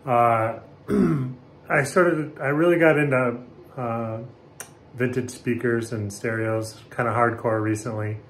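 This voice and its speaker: A middle-aged American man talking casually and haltingly, unscripted, like a hobbyist hosting a vlog in a small room. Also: mid-range voice, fairly even intonation, a bit bright. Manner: rambling, informal, halting. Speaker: video host, hobbyist speaker restorer. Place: small bathroom indoors. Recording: echoey small room, background noise.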